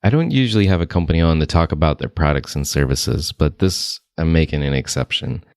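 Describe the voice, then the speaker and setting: A man hosting a marketing interview podcast, introducing and questioning his guest in a steady, conversational way. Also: very deep voice, a little fast, no room sound, studio quality. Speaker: podcast host.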